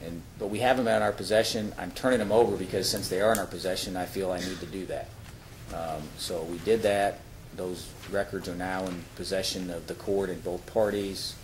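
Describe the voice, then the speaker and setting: A man's voice: sits low, steady tone, a little fast. A man speaking as a prosecutor, explaining himself to a judge in an informal, repetitive way. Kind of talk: courtroom argument to a judge. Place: indoor courtroom. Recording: echoey courtroom recording with loud noise.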